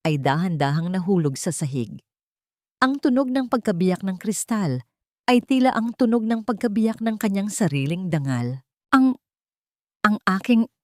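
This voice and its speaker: Low-voiced woman narrating a dramatic story, dry recording. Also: dark tone, no echo. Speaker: audiobook narrator.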